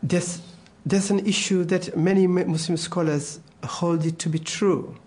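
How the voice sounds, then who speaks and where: Male advisor, high voice, hesitant and careful, trails off. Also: fairly even intonation, a little slow, darkish tone. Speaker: government advisor. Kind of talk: interview answers.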